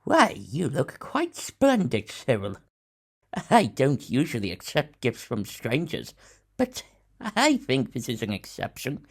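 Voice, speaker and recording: A woman's voice: a bass voice, wildly swooping pitch, slow pace, husky, full character voice. An actor playing an eccentric, reclusive male tycoon in an audio drama, fussy and given to musing fondly as if to a pet. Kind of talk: audio drama character acting.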